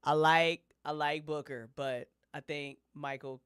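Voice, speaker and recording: A woman's voice: low voice, steady tone, a little slow, smooth, dry recording, studio quality. A woman co-hosting a podcast, speaking informally and emphatically, repeating phrases for effect. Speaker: podcast co-host. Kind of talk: casual podcast discussion.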